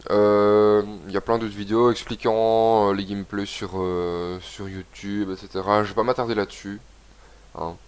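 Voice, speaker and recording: A young man with a head cold talks through a game session, his voice a bit low and stuffy. He rambles casually and reads the on-screen text aloud as he plays. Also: flat delivery, normal speed, smooth. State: has a cold, congested. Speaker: gaming video host. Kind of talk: casual let's-play commentary.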